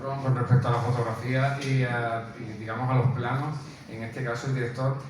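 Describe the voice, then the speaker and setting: A man's voice: mid-range voice, little pitch movement, fairly quick. A man co-presenting a film in informal commentary, hesitating and repeating himself as he searches for words. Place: indoor room, presenting to an audience. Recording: very echoey room, distant mic, faint noise.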